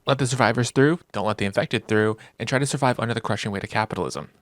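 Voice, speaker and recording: A man's voice: on the low side, fast, no room sound. A man narrating a video game review in an informal, wry, joking way, with casual reactions. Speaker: gaming video creator.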